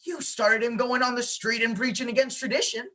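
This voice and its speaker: A woman's voice: a little high, fairly quick, sharp tone. A woman playing a beleaguered, folksy small-town man in charge of a solemn tradition, in an amateur play acted over video call. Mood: bitter, pleading. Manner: folksy, exasperated, officious. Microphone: video call microphone.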